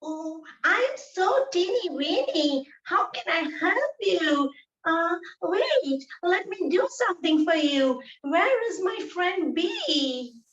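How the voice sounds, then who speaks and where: A player voicing a tiny, kind butterfly, speaking gently over echoey, muffled call audio. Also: extremely high pitch, sing-song, slow pace, heavily acted. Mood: sweet.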